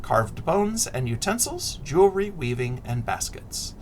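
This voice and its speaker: A middle-aged man reading a picture book aloud expressively to children, deliberate and dramatic, often dropping into a hush. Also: a little high, big pitch swings, a little hiss or hum. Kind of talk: expressive children's read-aloud with asides and questions.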